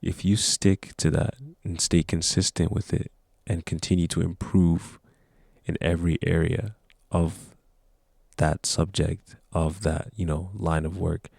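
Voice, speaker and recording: Deep gravelly young man talking to camera. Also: flat delivery, unhurried, a little mellow, dry recording, studio quality. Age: young adult. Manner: earnest, motivational, rambling with restarts. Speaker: vlogger. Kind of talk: casual talk to camera.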